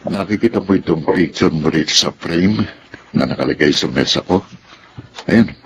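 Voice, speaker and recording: A man plays a middle-aged Filipino-accented father in a radio drama, firm yet caring. His voice is deep and low, over faint background noise. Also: moderate pace, close to an everyday voice. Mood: gentle, proud.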